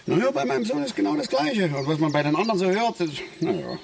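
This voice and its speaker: An actor playing a middle-aged East German working-class angler, blunt, folksy and grumbling, in a naturalistic radio play. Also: male voice, sits high, very animated, fast, vocal fry, a little hiss or hum, heavily acted.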